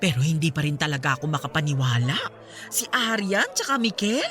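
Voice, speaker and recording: A woman playing a warm, easily astonished middle-aged mother chatting with her best friend in a radio drama. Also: deep voice, animated, a little fast, soft background music. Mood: relieved, sincere. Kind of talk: conversational radio drama acting.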